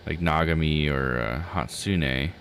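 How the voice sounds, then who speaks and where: A man's voice: very deep voice, a little slow, vocal fry, a bit bright, a little hiss or hum. A man giving casual live game commentary, thinking aloud in a musing, self-questioning way.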